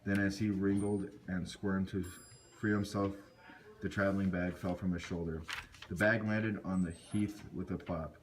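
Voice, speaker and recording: Deep-voiced male puppet show narrator. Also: little pitch movement, normal speed. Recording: noisy amateur recording with clicks.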